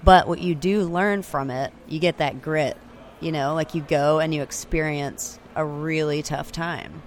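A woman's voice: low voice, steady tone, unhurried, a little hiss or hum. A young woman from Texas, chatting casually as an interview guest, full of "like" and restarts. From Austin, Texas. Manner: chatty, filler-heavy, frequent "like" and restarts. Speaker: singer-songwriter guest. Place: remote location, portable recorder. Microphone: handheld recorder with external mics.

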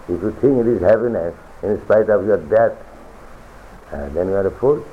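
A man giving a philosophical sermon as a spiritual teacher, lecturing deliberately, repeating phrases and reciting scripture. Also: deep voice, slight background noise. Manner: deliberate, repetitive lecturing with chanted scripture quotes. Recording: tinny lo-fi archival recording.

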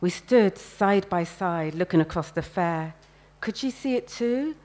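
A woman's voice: fairly low pitch, animated, unhurried, darkish tone. A woman, an author reading first-person fiction aloud expressively at a live event.

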